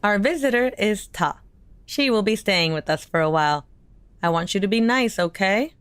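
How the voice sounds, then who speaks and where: A woman's voice: medium pitch, expressive, normal speed, smooth, natural voice. A woman playing a worried, exasperated mother in an audio drama, pleading and flustered. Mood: coaxing. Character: worried, exasperated mother of a tantrum-throwing boy.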